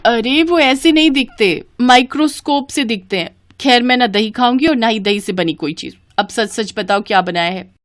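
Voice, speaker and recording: Woman putting on a high-pitched fussy young bride. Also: moderate pace, no room sound, studio quality, natural voice. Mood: fussy, defiant.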